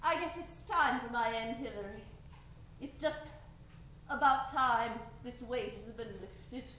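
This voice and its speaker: A woman's voice: on the high side, unhurried, fairly bright tone. A woman playing a menacing, unhinged condemned murderess in old-time radio drama style. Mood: resigned, weary. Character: menacing, unhinged condemned female serial killer. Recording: echoey, distant, noisy live stage recording.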